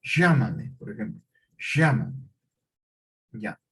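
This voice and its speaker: A man's voice: on the low side, big pitch swings, slow pace, fairly bright tone, breathy edge. A middle-aged male teacher, lecturing a class and often checking in with questions.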